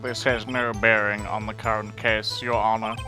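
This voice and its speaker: Over loud music comes a man acting as a haughty video game prosecutor with a low voice. He is smug and condescending, delivering each formal argument with theatrical polish. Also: flat delivery, normal speed, close to an everyday voice. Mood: firm, formal. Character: smug, haughty male prosecutor in a courtroom drama. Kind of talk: live amateur game dub.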